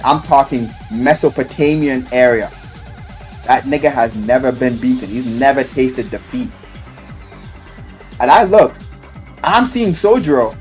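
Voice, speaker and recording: Man, excitable game vlogger, slangy and profane, lively swooping pitch, faint music underneath. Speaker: video game review vlogger. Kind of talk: animated casual storytelling review.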